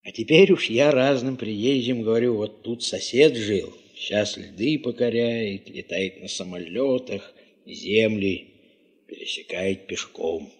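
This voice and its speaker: An actor playing a garrulous, sentimental village neighbour with a folksy, rambling manner in a 1970s radio play. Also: male voice, on the low side, slow pace. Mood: mournful, reminiscing.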